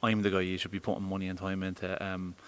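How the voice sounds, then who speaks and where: A man's voice: deep voice, flat delivery, quick pace, a bit bright, clean tone. A young man interviewing a boxer, rambling and hesitant with many fillers.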